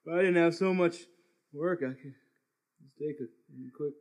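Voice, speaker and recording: A man's voice: on the high side, a little slow. A man playing a bossy but easily tempted hotel owner with folksy speech in a live comic radio play.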